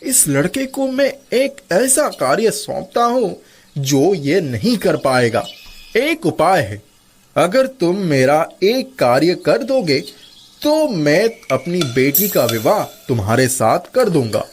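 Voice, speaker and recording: Man playing a cartoon king, commanding, slow, swooping pitch, wily, theatrical. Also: very animated, slightly rough, full character voice. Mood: stately, scheming. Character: proud, wily king and anxious father. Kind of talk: Hindi cartoon story character dubbing.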